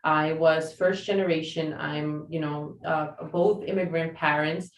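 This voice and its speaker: A woman's voice: low voice, fairly even intonation, unhurried, a little hiss or hum. A woman podcast host whose first language is Spanish, speaking reflectively and casually with frequent fillers. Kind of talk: conversational interview. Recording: roomy sound from a distance.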